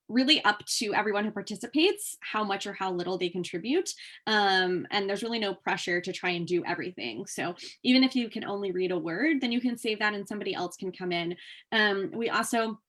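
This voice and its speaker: A woman's voice: medium pitch, a little fast, fairly bright tone. A woman presenting at a workshop, explaining things informally and conversationally as she takes questions from participants. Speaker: workshop presenter for a museum transcription program.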